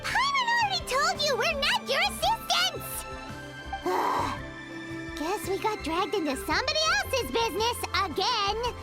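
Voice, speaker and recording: A woman voicing a small, excitable, easily exasperated childlike companion in a video game, with a squeaky voice and blunt, indignant manner. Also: a little slow, full character voice. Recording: loud music behind the voice.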